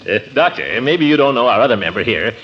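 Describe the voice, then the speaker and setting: An actor playing a blustering, easily flustered middle-aged clubman in an excitable 1940s radio comedy style, with nervous chuckles. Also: medium pitch, fast, close to an everyday voice. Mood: flustered, ingratiating. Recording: muffled, noisy 1940s radio broadcast.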